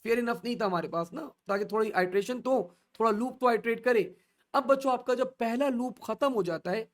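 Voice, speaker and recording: A man teaching an online coding class, asking the class questions and answering them himself as he steps slowly through each value. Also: extremely high pitch, a bit bright. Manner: slow and repetitive, stepping through values. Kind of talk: online coding lesson in mixed Urdu and English.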